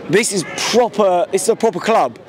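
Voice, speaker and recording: A man's voice: high voice, normal speed. A man in his twenties, a football fan, talking passionately and emphatically in a street interview outside a busy stadium. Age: 20s. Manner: passionate, emphatic, repeats words. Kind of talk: street vox pop interview. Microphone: handheld mic held by interviewer.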